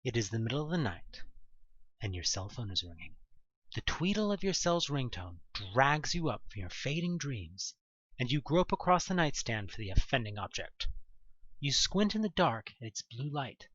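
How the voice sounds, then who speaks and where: A man narrates a dramatic audio story with a lively, swooping delivery and a medium-pitched voice. The recording is very dry and close, with a slightly dull tone. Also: normal speed, breathy edge, dry recording. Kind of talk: dramatic second-person scripted story narration.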